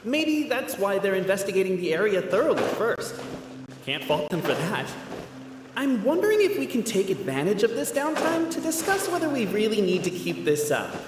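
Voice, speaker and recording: A man's voice: high-pitched, sing-song, normal speed, in a large hall. A man voicing a formal, indignant clan historian creature in a video game, with theatrical, declaiming delivery. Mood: indignant, fretting. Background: background music.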